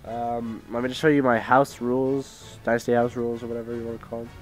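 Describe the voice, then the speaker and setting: A man's voice: medium pitch, little pitch movement, fast, soft background music, rough recording. A teenage boy from Idaho, sick with a sore throat, giving rambling casual commentary at home while he reads out game settings. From Idaho, USA. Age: teens. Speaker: gaming YouTuber. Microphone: headset mic with tangled cords.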